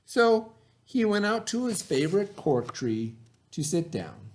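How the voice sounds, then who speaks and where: A man's voice: sits high, big pitch swings, a little slow, darkish tone, a little hiss or hum. A man hosting a read-aloud, telling a children's story expressively with informal asides to his listeners.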